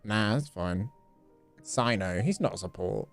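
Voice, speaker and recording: Slow-paced male streamer, lively pitch. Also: soft background music. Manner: musing aloud, offhand. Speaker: game streamer. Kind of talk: casual live gameplay commentary.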